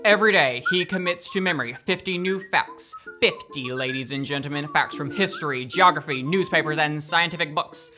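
A man's voice: fairly high pitch, fairly quick, hardly any pauses, lightly acted. A young man playing a showy, eager music-hall master of ceremonies in a radio play, grandiose and declamatory. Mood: grand, excited. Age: young adult. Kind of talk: radio play stage announcing.

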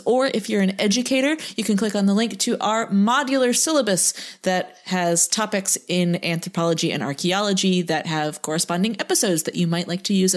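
A woman with a medium-pitched voice co-hosts a casual podcast. She talks playfully, pokes fun at herself and wanders into wordy asides. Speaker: podcast co-host. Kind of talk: casual humorous podcast chat.

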